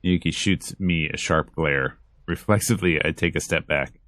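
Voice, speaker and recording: Very deep-voiced man narrating dramatically. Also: moderate pace, a bit bright, dry recording, clean studio sound. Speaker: let's player reading a visual novel aloud. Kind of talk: dramatic read-aloud narration of a visual novel. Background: game music.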